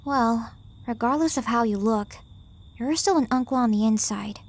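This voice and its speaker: A woman's voice: on the high side, normal speed, darkish tone, close to an everyday voice. A woman voicing an anxious, stubborn young girl in an emotional, earnest manga dub performance. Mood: wary, blunt. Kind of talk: anime-style manga dub acting.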